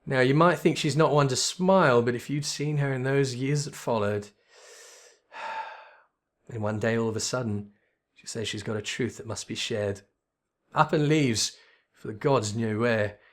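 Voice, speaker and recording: A man voicing a gruff, wistful veteran in a fantasy game, reminiscing in a folksy way. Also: medium pitch, unhurried, pauses often, natural voice.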